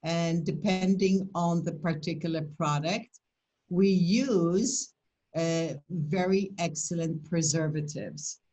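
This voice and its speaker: A woman's voice: fairly low pitch, takes it slowly, warm tone. An older woman from Poland with a Polish accent, presenting and answering questions in a webinar over a video call, speaking in an emphatic, motivational way.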